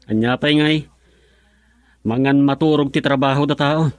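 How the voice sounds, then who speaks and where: A man's voice: medium pitch, steady tone, moderate pace, no echo, lightly acted. A man plays a troubled young husband in a radio drama, speaking with a Filipino accent. His voice sits in a medium register and is recorded dry, with a frustrated, burdened manner. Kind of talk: Ilocano radio drama acting.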